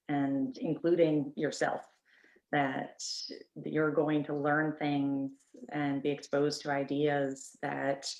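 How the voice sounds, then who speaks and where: A woman professor speaking on a webinar panel, animated and acting out what her students say. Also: low-pitched, echoey room, poor audio. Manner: animated, acts out students' quotes. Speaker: psychology professor.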